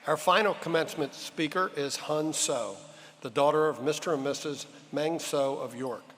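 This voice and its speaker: A man announces the next speaker at a graduation ceremony in a formal, even manner. His voice sits somewhat high for a man. The big hall gives it a long, lingering reverb. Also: moderate pace, slight background noise.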